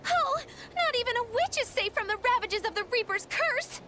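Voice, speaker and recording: A woman's voice: very high voice, animated, normal speed, noisy background. An actress voicing a whiny, theatrical witch in a video game, with a melodramatic, grandiose way of talking. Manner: melodramatic, grandiose old-fashioned phrasing. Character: theatrical, whiny witch who dramatizes her own doom.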